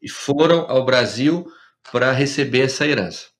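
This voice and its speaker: A man's voice: medium pitch, moderate pace, fairly bright tone. A man hosting a podcast, who tells anecdotes in casual conversation and keeps checking in with short tag questions. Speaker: podcast host. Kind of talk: casual interview, telling anecdotes.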